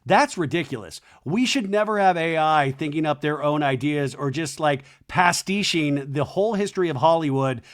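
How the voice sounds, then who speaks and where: A man's voice: fairly high pitch, moderate pace, hardly any pauses. A man hosting a casual pop culture podcast, talking chattily with frequent restarts and self-corrections. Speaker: podcast host.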